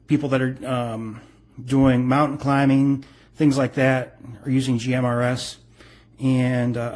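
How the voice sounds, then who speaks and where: This is a middle-aged man with a mid-pitched voice. He talks through a product casually and without a script, explaining things in plain, informal terms and rambling a bit as he goes. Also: a little mellow. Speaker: product reviewer. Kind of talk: casual unscripted product review.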